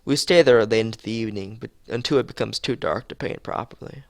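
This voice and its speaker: A man streaming a visual novel, reading its script aloud haltingly, stumbling and repeating phrases, with casual asides. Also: on the low side, no echo, studio quality.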